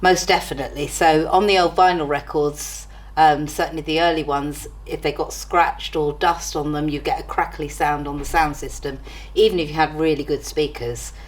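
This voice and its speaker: A woman's voice: sits low, fairly even intonation, normal speed. An older woman answering interview questions in a casual, reminiscing way. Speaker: interviewee from a college.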